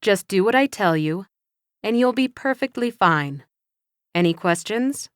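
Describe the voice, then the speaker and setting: A woman playing an encouraging but firm English teacher, speaking slowly and carefully in a scripted classroom dialogue. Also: mid-range voice, expressive, clear, resonant tone, no room sound, studio quality, natural voice. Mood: instructive. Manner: slow, careful, instructive delivery.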